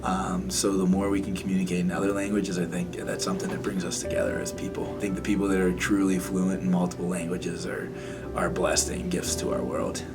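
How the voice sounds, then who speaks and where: Deep, flat, thoughtful man. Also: brisk. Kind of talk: reflective interview answer. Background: loud background music.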